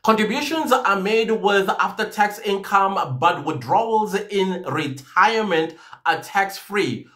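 Man in his forties, higher-pitched voice, lively vlogger talking straight to his viewers from a home office; gestures constantly. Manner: animated, gesturing a lot, speaks to viewers directly. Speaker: YouTube creator. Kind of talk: casual talk to camera. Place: small home office, indoors.

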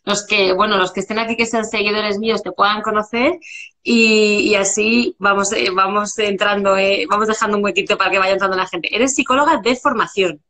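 A woman's voice: medium pitch, steady tone, fairly quick, bright, forceful tone. Chatty Spanish woman hosting a live call. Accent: Spanish from Spain. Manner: chatty, many restarts and fillers. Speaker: live stream host. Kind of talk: casual live interview. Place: home room, indoors. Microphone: video call webcam.

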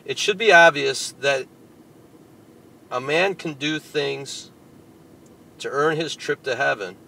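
A male preacher reads passages aloud and tears into them with emphatic, scolding force. His voice has a bright, forceful edge. He swings from loud outbursts to low, muttered asides over a faint background hiss. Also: slow, creaky, rough recording. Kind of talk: fiery sermon reading and rebutting a tract.

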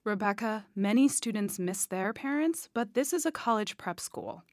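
A woman's voice: medium pitch, animated, darkish tone, dry recording, lightly acted. Woman playing school dean in a radio drama, firm, admonishing, mid voice, very dry recording. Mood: disappointed, firm. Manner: measured, admonishing. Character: firm but forgiving female boarding school dean. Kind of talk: audio drama acting.

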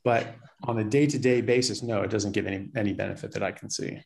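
A man's voice: lowish voice, steady tone, fast talker, vocal fry. A man in his forties, a doctor guest on a casual interview, talking over a video call from a small plain room and often repeating words as he starts a thought. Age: 40s.

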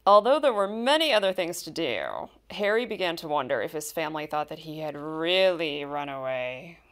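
A woman reading a children's picture book aloud, with expressive, deliberate storytelling and stressed key words. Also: low-pitched. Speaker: storybook reader.

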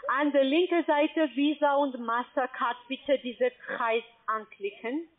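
High-voiced woman on a tinny phone line. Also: fairly even intonation, takes it slowly. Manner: instructing step by step, broken grammar. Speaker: scam call center agent. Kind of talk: phone call.

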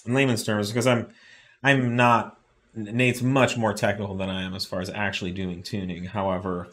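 A man's voice: lowish voice, flat delivery, moderate pace, a bit bright. A middle-aged man hosting a live chat, explaining engine tuning in plain terms with many fillers and restarts, recorded in an indoor studio. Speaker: host. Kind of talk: casual technical explanation. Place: indoor studio with shop products on desk.

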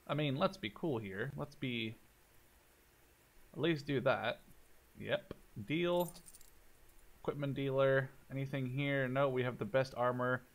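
Casual young man doing let's play commentary. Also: medium pitch. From Canada or Netherlands. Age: 20s. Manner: thinks out loud in short phrases, talking to himself. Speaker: gaming YouTuber playing and commentating.

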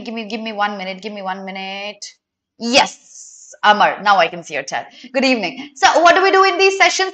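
Bright-voiced young Indian woman teaching. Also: fairly high pitch. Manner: explanatory, addresses students with frequent questions. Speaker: exam-prep teacher. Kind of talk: live online lesson.

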